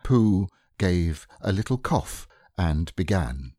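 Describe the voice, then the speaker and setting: A man reading a children's classic aloud as gentle, expressive storybook narration. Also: low voice, slow pace, dry recording, clean studio sound. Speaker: audiobook reader.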